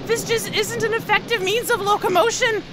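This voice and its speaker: A breezy, bickering dancer girl played by a woman with an extremely high voice. She chides and exclaims theatrically in a comic sci-fi audio drama, with clear noise behind her. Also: fairly even intonation, normal speed, a loud crowd. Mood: alarmed. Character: breezy, argumentative dancer sister, easily distracted.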